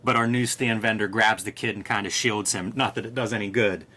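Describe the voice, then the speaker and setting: Bright-voiced man, enthusiastic comics co-host. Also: fairly low pitch, fairly even intonation, fairly quick. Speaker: co-host of a comics review show. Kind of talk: casual, enthusiastic comic-book commentary.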